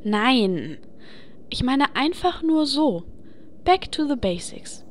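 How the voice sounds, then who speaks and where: A woman playing a pragmatic, deadpan fantasy game adventurer who leads her group, in a comedy audio play. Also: high-pitched, slow pace, exaggerated voice. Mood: matter-of-fact. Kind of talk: audio comedy parody acting.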